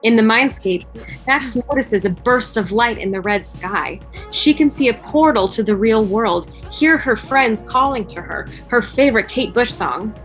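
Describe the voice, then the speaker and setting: A woman's voice: a little high, animated, measured pace, a bit bright, faint music behind, poor audio. A woman reading scripted stage directions as narrator of a fan table read over a video call. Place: remote video call, music behind.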